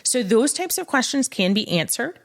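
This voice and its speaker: A woman's voice: fairly high pitch, big pitch swings, normal speed. A woman co-hosting a podcast as the legal expert, explaining things in casual chat and acting out courtroom dialogue as she goes.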